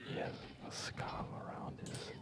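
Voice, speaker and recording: A man giving hushed, unhurried ASMR commentary on a game, trailing off into pauses. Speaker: host of an ASMR gaming channel. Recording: music running behind the whisper. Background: game music.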